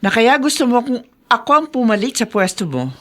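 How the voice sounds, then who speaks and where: A woman's voice: on the high side, big pitch swings, a little fast. A woman playing an anguished, resolute mother in a Filipino radio drama, speaking earnestly and with emotion. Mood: defiant. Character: anguished, resolute mother, a mayor's wife confessing her past.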